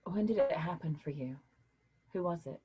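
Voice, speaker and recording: A woman playing a weary but caring literature professor in a staged reading, speaking in a measured, hesitant, reassuring way with a husky voice. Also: fairly low pitch, animated, lo-fi sound, natural voice. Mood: gentle, earnest.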